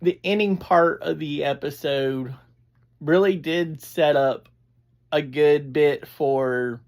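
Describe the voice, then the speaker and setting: A reviewer, chatting casually in a halting, digressive way as host of a review. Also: medium pitch, a little mellow. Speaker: audio drama reviewer, host. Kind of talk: casual rambling review chat.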